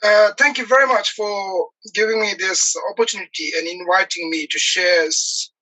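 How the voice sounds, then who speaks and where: A man in his forties from Nepal, speaking as an interview guest over a video call, earnest and halting with many pauses and fillers. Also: high-pitched, fairly even intonation, sharp tone, rough recording. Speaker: guest, activist. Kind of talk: earnest personal account in an interview.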